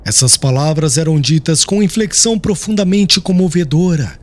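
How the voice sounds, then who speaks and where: A man narrating an audiobook with a measured, expressive reading. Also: on the high side. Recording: music under the narration. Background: background music.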